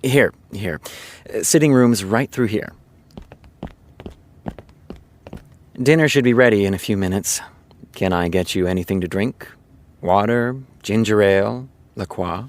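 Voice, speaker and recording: A man playing an easygoing, earnest TV showrunner in an audio drama, confiding in casual storytelling with dramatic pauses. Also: fairly low pitch, lightly acted. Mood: casual host. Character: easygoing male TV showrunner, chatty earnest raconteur. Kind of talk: audio drama acting, naturalistic dialogue.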